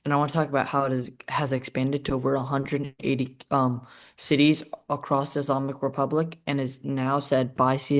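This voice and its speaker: Flat-voiced teen boy presenting. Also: mid-range voice. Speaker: student podcast co-host. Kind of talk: student presentation.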